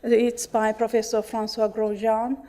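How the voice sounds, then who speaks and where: A woman's voice: a little high, level tone, unhurried, dark tone. A middle-aged woman from Finland with a Finnish accent, giving an earnest conference talk with many restarts and fillers. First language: Finnish and Swedish. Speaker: conference speaker. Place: conference hall.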